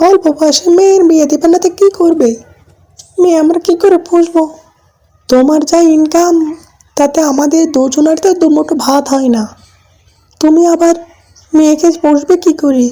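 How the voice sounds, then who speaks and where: A woman's voice: sits high, fairly even intonation, moderate pace, long pauses, mellow, close to an everyday voice. A woman voicing a blunt, complaining middle-aged village mother in a cartoon, arguing in a practical way.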